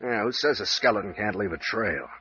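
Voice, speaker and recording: An actor playing a gruff, bossy captain in an old radio thriller, brusque and slangy, with a dry, bold streak. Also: male voice, on the low side, lively intonation, brisk, bright, forceful tone, exaggerated voice. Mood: wry, determined. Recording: dull lo-fi old radio recording.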